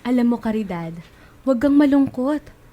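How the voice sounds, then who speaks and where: A woman playing a gentle, devout friend offering comfort in a radio drama. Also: sits high, animated, moderate pace. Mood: encouraging.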